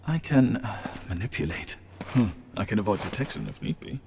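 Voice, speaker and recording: An actor playing a sadistic, theatrical Nazi general in a video game cutscene, gloating and menacing in a halting delivery. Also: male voice, fairly low pitch, expressive, gravelly, background noise. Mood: menacing, philosophical.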